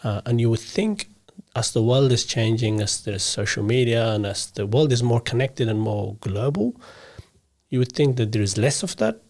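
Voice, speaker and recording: A man's voice: mid-range voice, expressive, moderate pace, a little mellow. A man hosting a podcast, speaking earnestly in a loose, conversational way and often checking for agreement. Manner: earnest, many fillers and repeats, asks for agreement. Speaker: podcast host. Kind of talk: casual interview conversation.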